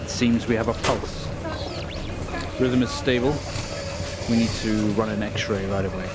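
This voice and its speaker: A man playing a brisk, commanding emergency room doctor in a video game, speaking in clipped orders. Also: lowish voice, fairly even intonation, lightly acted. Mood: focused, clinical. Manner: clipped, urgent, giving orders. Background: loud music.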